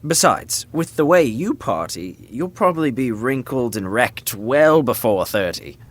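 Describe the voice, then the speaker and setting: An actor playing a prince's loyal, level-headed young best friend, bantering dryly in a modern comedy audio drama. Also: male voice, mid-range voice, big pitch swings, a little slow. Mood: wry. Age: young adult. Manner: dry, teasing banter.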